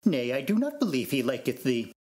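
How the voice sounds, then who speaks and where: A man's voice: mid-range voice, huge pitch swings, a little fast, darkish tone. A man playing a fussy, anxious robot servant in formal, theatrical archaic phrasing, in an amateur Shakespearean reading. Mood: officious.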